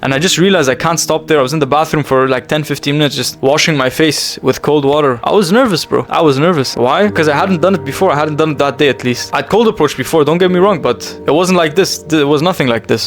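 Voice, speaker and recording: An earnest young man talks straight to camera from his bedroom. His voice sits a little high, and he speaks very fast and with intensity, hammering key phrases again and again. Clear music plays underneath him. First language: English. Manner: earnest and intense, repeats phrases for emphasis, calls listener bro. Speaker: self-improvement vlogger. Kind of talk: emotional confessional monologue to camera.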